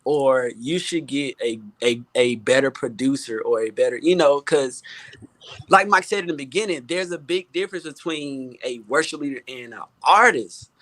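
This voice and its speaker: A man's voice: mid-range voice, moderate pace, a bit bright, dry recording. A man speaking as a guest in a casual panel chat, candid and emphatic, often repeating himself as he builds a point. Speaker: music producer, panel guest.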